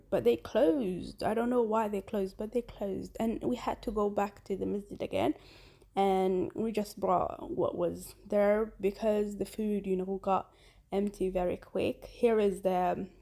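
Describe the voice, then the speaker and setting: A woman's voice: medium pitch, measured pace, gravelly, warm tone. A woman whose first language is Somali, in her thirties, chatting casually through her travel vlog with many fillers and restarts. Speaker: vlogger.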